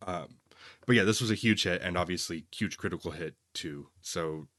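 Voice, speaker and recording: A man's voice: sits low, moderate pace, a bit bright, no room sound, studio quality. A man co-hosting a podcast, casually talking through a film review in a conversational, opinionated way. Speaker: podcast co-host.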